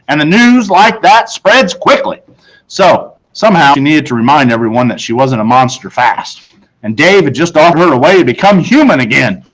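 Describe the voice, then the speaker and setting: A man with a slightly high voice reads a story aloud to children. He narrates like a teacher with a class. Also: a bit bright, low-quality recording. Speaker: audiobook reader, teacher.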